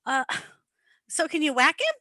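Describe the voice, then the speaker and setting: Woman as whiny high-pitched mobster's daughter. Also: very animated, measured pace, bright, forceful tone, no echo, studio quality, full character voice. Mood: whiny, entitled. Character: whiny, entitled college-age mobster's daughter. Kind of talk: amateur old-time radio comedy play.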